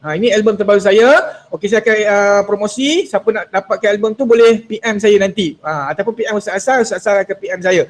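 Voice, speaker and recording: High-voiced playful man teaching online. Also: fast, hardly any pauses. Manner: playful, teasing, slips into English. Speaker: workshop speaker. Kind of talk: lively online workshop talk. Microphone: video call.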